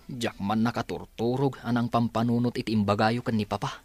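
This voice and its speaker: A man's voice: mid-range voice, measured pace. An actor with an Ilocano Filipino accent playing an awkward, apologetic young male boss in a radio drama. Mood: troubled.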